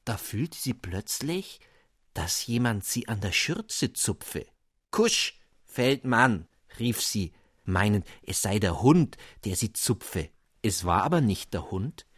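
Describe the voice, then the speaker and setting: A man narrating a fairy tale in a measured, expressive way. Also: on the low side, slightly rough, no echo, clean studio sound.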